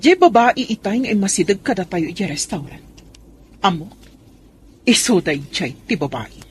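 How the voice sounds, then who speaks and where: A woman's voice: mid-range voice, sing-song, moderate pace, natural voice. Radio drama wife and mother woman acting: protective, wounded, swooping intonation, Filipino accent, noisy recording. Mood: hurt, reproachful. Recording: clear background ambient noise.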